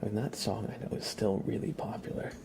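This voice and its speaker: A man hosting a live ASMR stream, chatting casually to viewers in a breathy whisper with soft, unhurried asides. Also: on the low side, flat delivery, background noise. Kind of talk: ASMR, casual livestream chat.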